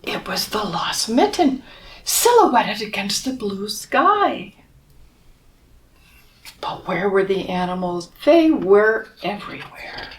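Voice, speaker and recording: A woman reading a children's storybook aloud in an expressive way, as if to young listeners. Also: medium pitch, takes it slowly, far from the mic. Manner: expressive, asks listeners questions, drops to whispers. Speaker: storybook reader. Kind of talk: children's picture book read-aloud.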